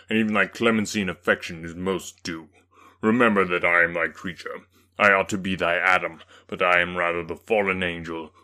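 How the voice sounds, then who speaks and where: A bitter, menacing creature from a gothic storybook speaks slowly and low, his deep voice sinking into hushed, whispery stretches as a man puts him on. There is a hard, bright edge to his words, half pleading and half threatening. He sounds heavy and full of anguish. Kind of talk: dramatic audiobook reading.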